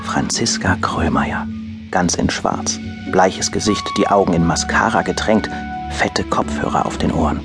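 An actor playing a wry TV filmmaker, narrating a radio play in the first person with dry irony and a creaky voice. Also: male voice, low voice, measured pace, music in the background. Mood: dryly amused. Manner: dry, ironic storytelling. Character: wry TV filmmaker narrating his story, adult man.

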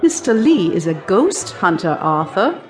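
A woman's voice: mid-range voice, sing-song, measured pace, faint music behind. An actress playing a witty, sceptical young upper-class lady in a radio drama, arch and teasing in manner. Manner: arch, mocking, well-spoken phrasing.

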